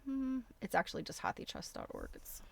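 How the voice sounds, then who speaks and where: A woman's voice: high voice, wildly swooping pitch, a little fast, vocal fry, breathy edge. A woman hosting a casual livestream, chatting enthusiastically and thinking aloud as she walks through websites. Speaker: host of a craft livestream.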